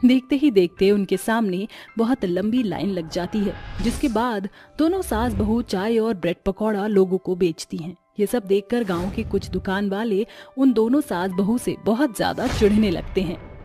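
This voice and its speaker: A woman's voice: medium pitch, normal speed, dark tone, faint music behind. A woman narrating a scripted story as its storyteller.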